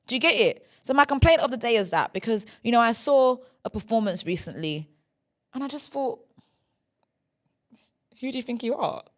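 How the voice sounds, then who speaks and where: A woman's voice: a little high, expressive, moderate pace, pauses often, fairly bright tone. A young woman, hosting a solo podcast and complaining in a chatty way. She ends almost every line with a tag question. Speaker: podcast host.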